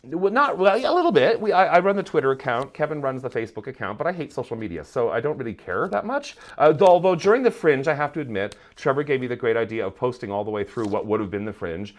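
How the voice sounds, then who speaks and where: Middle aged guy, podcast guest, very up and down pitch, rambly self mocking chat. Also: expressive, fast talker, hardly any pauses. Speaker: podcast guest, theatre maker. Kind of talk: casual chat.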